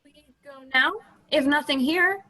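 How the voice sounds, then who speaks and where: A woman playing a timid, childlike young woman of the far future in a radio play, speaking in halting, broken, pleading phrases. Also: high-pitched, pushed and bright.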